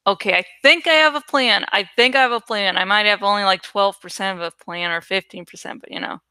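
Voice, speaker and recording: A woman rambles casually as she works, thinking out loud with plenty of swearing. Her voice is bright and smooth, recorded close and dry. She sometimes stalls to hunt for the right word. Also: mid-range voice, quick pace, no room sound. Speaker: online creator and host. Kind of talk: casual rambling chat while working on a graphic.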